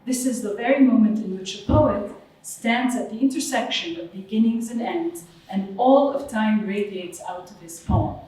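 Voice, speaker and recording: Woman from Lebanon, academic lecture, measured, thoughtful, distant mic, echoey hall. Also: medium pitch, warm tone. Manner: measured and thoughtful, with some hesitations. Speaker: guest lecturer on Arabic literature. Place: lecture room at a cultural center.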